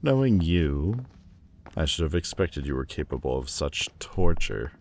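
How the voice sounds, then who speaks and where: A man playing a proud, wounded demon lord in an audio roleplay, guarded but vulnerable, with halting, stiffly formal, wry delivery. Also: very deep voice, darkish tone, a little hiss or hum. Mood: wry, embarrassed. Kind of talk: intimate audio roleplay acting. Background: ambient sound effects.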